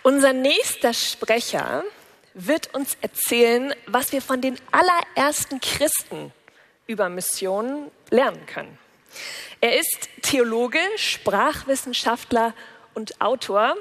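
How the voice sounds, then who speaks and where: Higher-voiced female stage moderator. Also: lively intonation, slow pace, a bit bright, a faint crowd. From northern Germany. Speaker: conference moderator. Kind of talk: stage introduction. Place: congress stage.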